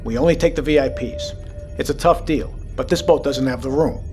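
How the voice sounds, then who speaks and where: An actor playing a calm, seasoned submarine captain, commanding and reassuring, in an audio drama. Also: male voice, medium pitch, fairly quick, music in the background, close to an everyday voice. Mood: measured, resolute.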